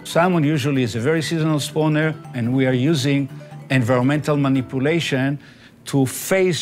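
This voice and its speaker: An older man and research scientist explains his work in a lab interview, measured and gesturing. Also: medium pitch. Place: indoor laboratory. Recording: interview with soft music under.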